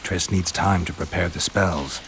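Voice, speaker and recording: An actor playing a stoic, world-weary monster hunter in a video game, terse and dryly understated. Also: male voice, sits low, measured pace, vocal fry, a bit bright, slight background noise, exaggerated voice. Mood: resolute. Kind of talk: video game cutscene acting. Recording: rain and ambience behind the voice.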